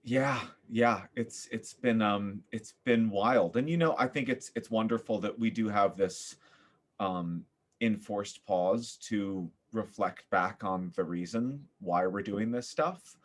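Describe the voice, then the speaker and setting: A man speaking as a podcast guest in casual, reflective conversation, earnest and thoughtful with halting phrasing. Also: lowish voice. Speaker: podcast guest, theater director and voice coach.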